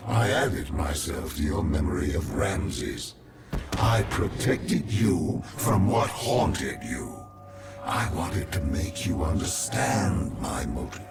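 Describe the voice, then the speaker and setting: Slow, theatrical godlike villain. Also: male voice, big pitch swings, heavily acted. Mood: controlling, menacing. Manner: theatrical, slow and grandiose, swinging from hushed to booming. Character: menacing all-powerful godlike male villain, grandiose and obsessive. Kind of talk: dramatic video game villain acting. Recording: roomy echo under loud music.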